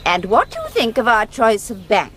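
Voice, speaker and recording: An actress playing a haughty elderly English gentlewoman in a radio drama, prim, imperious and cutting. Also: a little high, huge pitch swings, normal speed, husky, heavily acted. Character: haughty, sharp elderly genteel spinster, secretly scheming. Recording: noisy outdoor-sounding drama scene.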